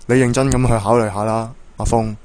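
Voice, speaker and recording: A man playing an earnest, encouraging school football coach in a radio drama, speaking Hong Kong Cantonese in a measured, persuasive way. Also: medium pitch, steady tone, darkish tone, smooth, close to an everyday voice. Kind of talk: Hobby radio drama acting. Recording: music bed under the dialogue.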